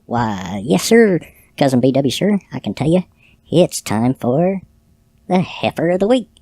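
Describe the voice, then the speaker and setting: A squeaky, eager sidekick who calls the boss "sir" and drawls out his answers, as a man puts on the voice. The pitch jumps all over the place, while the tone stays soft and mellow. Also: sing-song, measured pace, exaggerated voice. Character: eager male sidekick, high and folksy.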